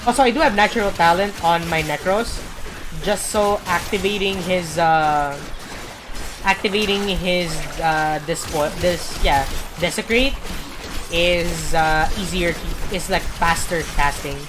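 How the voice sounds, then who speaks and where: A woman streamer giving rambling gameplay commentary, full of fillers and pauses to search for words. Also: on the low side, noisy background.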